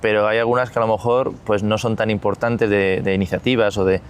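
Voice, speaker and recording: A man's voice: on the low side, flat delivery, brisk, slight background noise. A man hosting a business interview, circling through restarts and asides before landing his questions. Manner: rambling, stacks restarts before reaching the question. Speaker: podcast host, interviewer.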